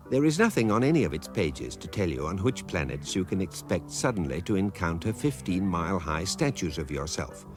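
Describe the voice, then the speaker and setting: A man playing an urbane electronic guidebook, narrating radio comedy in a deadpan, erudite, measured way with a British accent. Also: low voice. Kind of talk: scripted comic narration.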